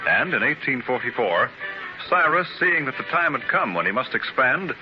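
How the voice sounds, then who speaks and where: An earnest actor as a male radio narrator tells his story with lively, swinging intonation. The sound is a dull 1930s transcription with faint music behind. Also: mid-range voice, natural voice. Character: earnest male narrator of an industrial biography drama.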